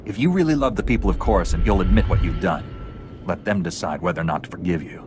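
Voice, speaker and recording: A man playing a calm, principled heroic knight in a video game, speaking in a firm, measured way. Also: deep voice, close to an everyday voice. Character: calm, principled male Jedi knight hero. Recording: music playing behind.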